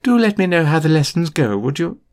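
A man reading an audiobook, voicing a stern older female professor with a crisp, clipped, prim delivery. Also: fairly high pitch, big pitch swings, brisk, pitched above the performer's own voice. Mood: tart. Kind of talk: audiobook character voice.

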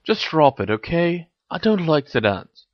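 A sullen teenage boy wizard as a story reader plays him, defensive and petulant. He grumbles with a lot of movement in his pitch. It is a storybook performance, recorded dry. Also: sing-song, a little slow, dry recording, dull audio. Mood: annoyed, evasive.

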